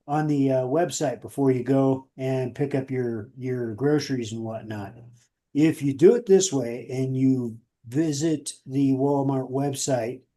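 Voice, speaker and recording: A man with a soft-edged, mellow voice at a middle pitch, co-hosting a casual show. He speaks in a folksy, earnest manner, addressing listeners in plain colloquial language. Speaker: podcast co-host. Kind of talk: casual radio show talk with listener appeals.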